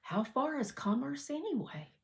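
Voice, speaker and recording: A woman's voice: sits high, very animated, moderate pace, gravelly, voice pushed up, exaggerated voice. A woman voicing a reserved, kind schoolboy in a storybook, with an understated manner. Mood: curious.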